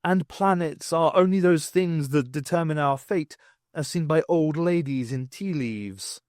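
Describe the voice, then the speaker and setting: A man's voice: a little high, measured pace, a little mellow, no echo, close to an everyday voice. An anxious talking tomcat, acted by a man, baffled by the world around him. He speaks formally, in old-fashioned storybook phrases, in a close, dry audiobook recording. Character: anxious, baffled talking male cat companion.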